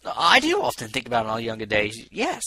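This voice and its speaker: Man doing an aloof, animated scholarly pony doctor. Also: medium pitch, moderate pace, vocal fry, a little hiss or hum, full character voice. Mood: intrigued.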